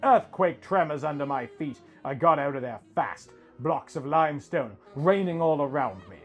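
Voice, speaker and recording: A man reading a scripted first-person story as a dramatic narrator, in a theatrical, deliberate manner. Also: a little high, animated, soft background music.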